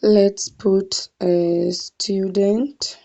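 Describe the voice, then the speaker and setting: A woman's voice: mid-range voice, steady tone, very slow, vocal fry, warm tone, lo-fi sound. A young Kenyan woman walking viewers through an online sign-up form, thinking aloud and calling them guys. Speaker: tutorial vlogger. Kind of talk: screen-recorded walkthrough.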